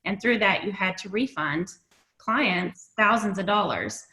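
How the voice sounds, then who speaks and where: A woman's voice: medium pitch, unhurried, bright, forceful tone, roomy sound, poor audio. A woman hosting a talk show, asking long framing questions in a casual way. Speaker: show host. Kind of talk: casual interview chat.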